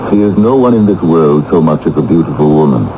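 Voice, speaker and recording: An actor playing a composed, shrewd English lord in a radio drama, with a formal, emphatic manner. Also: male voice, very deep voice, expressive, brisk. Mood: shrewd, knowing. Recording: dull, noisy old radio broadcast.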